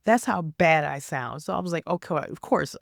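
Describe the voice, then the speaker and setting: A woman with a raspy, hoarse voice from a cold, talking casually about faith and pushing through hard times. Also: deep voice, normal speed, no room sound, clean studio sound. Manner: rambling, stops and restarts, then earnest exhortation. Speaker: faith vlogger. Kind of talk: casual faith talk to camera.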